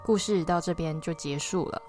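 A woman's voice: fairly low pitch, normal speed, a little mellow. Woman narrating a story over music. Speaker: podcast narrator.

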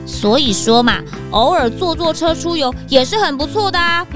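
A woman's voice: high voice, animated, normal speed, music in the background. A woman playing a chirpy, inquisitive young detective's sidekick, chatty and cheeky, in a children's audio drama.

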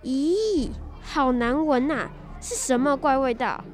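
A man's voice: very high voice, expressive, slow, exaggerated voice. A young boy playing a teasing, rude schoolboy classmate in a radio drama. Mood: mocking. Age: child.

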